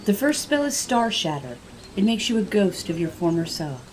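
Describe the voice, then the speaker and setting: A woman playing a composed spellcaster in an audio drama, with a formal, deliberate manner. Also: mid-range voice, big pitch swings, close to an everyday voice, low-quality recording.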